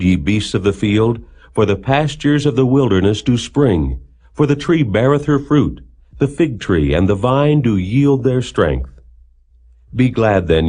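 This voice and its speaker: A man narrating scripture as a Bible reader, in a solemn, declamatory manner with measured phrasing. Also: fairly low pitch, a little mellow.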